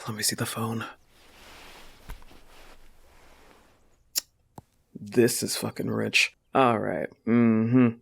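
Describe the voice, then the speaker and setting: A man voicing a possessive, tender goth wolf-girl girlfriend in an intimate audio roleplay, soft-spoken and often slipping toward a whisper. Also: fairly low pitch, level tone, measured pace, creaky, husky, heavily acted. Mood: jealous, irritated.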